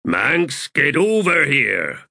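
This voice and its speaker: A man voicing a bossy, irritable army corporal in an audiobook, barking rough soldierly talk. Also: medium pitch, big pitch swings, drawn-out words, sharp tone, no echo, clean studio sound, voice pushed up, heavily acted. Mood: irritated.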